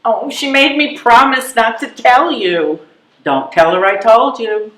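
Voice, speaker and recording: A woman's voice: fairly high pitch, unhurried, a bit bright. A woman playing a blunt, wry and determined elderly widow in a readers theatre comedy, full of pointed questions. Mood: earnest. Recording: echoey live room recording.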